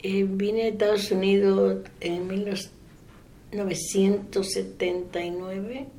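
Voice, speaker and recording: A woman in her eighties from the Dominican Republic with a Dominican accent, giving a soft-spoken oral history interview. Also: mid-range voice, level tone, slow pace, slight background noise. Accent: Dominican Spanish. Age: 80s. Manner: soft-spoken, trailing off into quiet.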